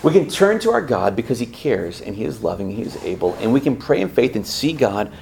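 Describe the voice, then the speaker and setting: Campus preacher, male, animated and playful delivery, faint ambience behind. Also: medium pitch, fairly quick, vocal fry, a little hiss or hum. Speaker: campus ministry speaker. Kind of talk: sermon to students.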